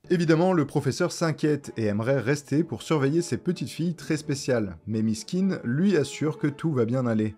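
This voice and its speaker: A man's voice: mid-range voice, mellow, breathy edge. A man presenting a video essay in scripted narration, with casual slang and joking asides. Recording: music behind the voice. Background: background music.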